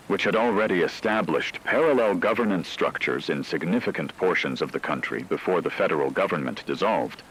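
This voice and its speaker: A man playing a grave radio newsreader in a fictional broadcast, reading scripted reports in a formal, measured, solemn way. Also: sits low, a bit bright, slight background noise, heavily acted, poor audio. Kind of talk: scripted news narration.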